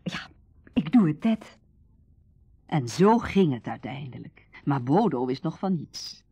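A woman's voice: deep voice, very animated, a little slow, mellow, breathy edge, no echo, heavily acted. An actress playing a thoughtful, confiding and resolute woman in a radio drama. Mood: resolved, reflective.

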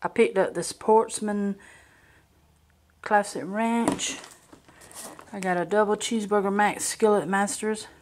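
A woman's voice: mid-range voice, fairly even intonation, a little slow, long pauses. A woman talking casually and plainly as she narrates a grocery haul on a handheld camera at home. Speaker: home vlogger. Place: indoors at a kitchen or dining table. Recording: noisy home recording, crinkling packages. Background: crinkling packaging, clicks, background noise.

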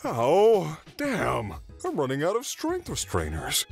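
A nervous young male bear acted by a man talks slowly, with pitch that swings widely. He is hesitant and easily impressed, in manga dub style. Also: creaky, soft background music, full character voice. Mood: casual, impressed. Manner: hesitant, expressive. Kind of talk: manga fan dub acting.

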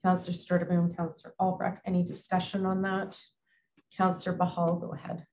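A woman with a Canadian accent, chairing a council meeting as mayor, reading bylaw motions in a brisk, procedural way over a video call. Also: on the low side, monotone, darkish tone, a little hiss or hum. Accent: Canadian English.

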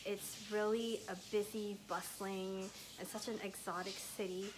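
A woman's voice: medium pitch, flat delivery, measured pace. Young woman chatting to her phone in a hotel room, rambly, says like a lot, faint hiss behind. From Thai, raised in the US. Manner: rambling, heavy use of "like", soft and chatty. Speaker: travel vlogger. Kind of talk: casual vlog to camera. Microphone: phone camera at arm's length.

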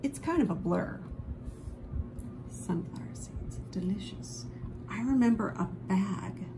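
A woman reading a children's picture book aloud expressively, with dramatic drawn-out emphasis on key phrases. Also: mid-range voice, animated, vocal fry, over music. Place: indoors at a table, book held before camera.

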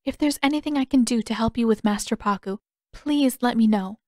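A woman voicing a polite, dutiful young noblewoman with formal, measured phrasing in an audiobook story. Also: fairly high pitch, a little mellow, dry recording, studio quality, lightly acted. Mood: earnest, gentle.

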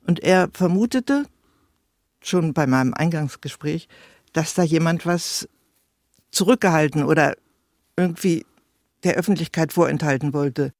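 Casting: female biographer in a documentary interview, low voice, dry close recording. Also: lively intonation, measured pace, pauses often, a little mellow, no room sound. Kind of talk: interview recollection.

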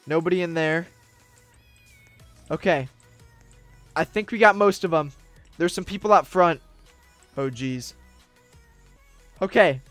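A young man streaming a roleplay game, leading a team with urgent commands that swing from hushed whispers to shouts, recorded on a desk mic in a small room. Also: fairly high pitch, slow pace, long pauses, dry recording, faint music behind. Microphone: desk mic, webcam streamer.

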